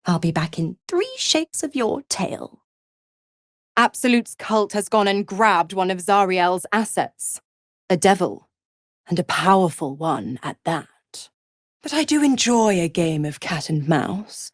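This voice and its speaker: A woman's voice: lowish voice, lively intonation, slow. A woman playing a seductive, scheming half-devil in a video game, smug and condescending, with a silky, theatrical delivery and mock sweetness. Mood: playful, sly. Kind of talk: scripted video game character acting.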